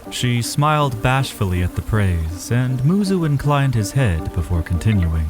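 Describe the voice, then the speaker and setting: A man's voice: mid-range voice, very animated, a little slow, music in the background. A man narrating a scripted fantasy audio drama as its storyteller.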